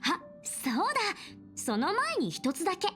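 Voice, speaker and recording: A cheeky teenage anime game heroine. Her voice is extremely high and swoops wildly, rattling along with bubbly, teasing energy. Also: huge pitch swings, brisk, a bit bright, soft background music, full character voice. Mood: excited, confident. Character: perky, cheeky teenage girl adventurer. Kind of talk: Japanese anime game voice acting.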